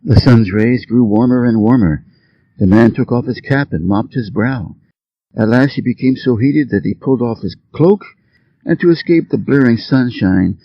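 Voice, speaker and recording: A man giving a measured, scripted reading of short fables for English learners, enunciating each phrase. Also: mid-range voice, husky, no room sound, lo-fi sound. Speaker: story reader.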